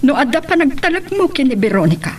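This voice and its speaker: Woman acting a high, animated scolding mother. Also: fast talker. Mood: reproving. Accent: Ilocano, northern Philippines. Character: stern, admonishing mother of a grown son. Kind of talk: Ilocano radio drama acting.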